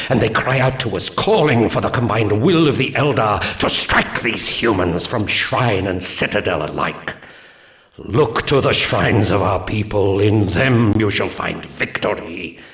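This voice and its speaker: A man's voice: on the low side, creaky, a bit bright, echoey room, full character voice. An actor playing a solemn ancient male alien seer who gives battle briefings in a grave, portentous voice. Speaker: mission briefing narrator. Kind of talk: solemn game cutscene narration.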